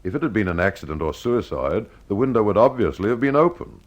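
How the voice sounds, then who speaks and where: A man's voice: fairly low pitch, very animated, fast talker, lo-fi sound. A man hosting and narrating a scripted detective mystery. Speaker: host and storyteller.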